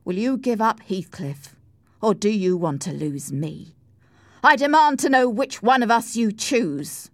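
A woman voicing a stern, proper gentleman husband who speaks in a formal, commanding way in an audiobook story. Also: fairly low pitch, expressive, unhurried, no room sound, clean studio sound. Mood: demanding. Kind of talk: audiobook character acting.

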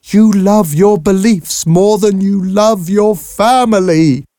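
A man's voice: sits high, slow, warm tone, dry recording, studio quality, voice pushed up. A man voicing a desperate, pleading young mother, bitter and grieving, in an audiobook story. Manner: pleading, emotional. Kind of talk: audiobook character voice.

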